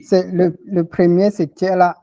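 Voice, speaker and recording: Teacher, slow pace, dry sound, patient, repeats words, online class. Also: medium pitch, level tone, warm tone, no room sound. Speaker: language teacher. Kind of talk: explaining phrases in class.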